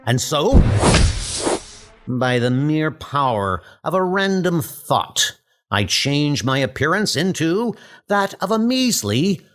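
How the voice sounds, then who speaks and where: A man voicing a scheming, vengeful god of evil in a comic book dub, speaking in a theatrical, deliberate, grandiose way. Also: on the high side, big pitch swings, a bit bright, exaggerated voice. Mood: scornful scheming.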